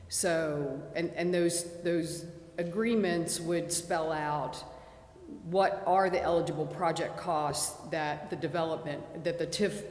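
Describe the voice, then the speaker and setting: A woman consultant explaining tax funding steps clearly at a city committee meeting. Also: sits low, steady tone, slow pace, a little mellow. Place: city council chamber. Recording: echoey council chamber from far off.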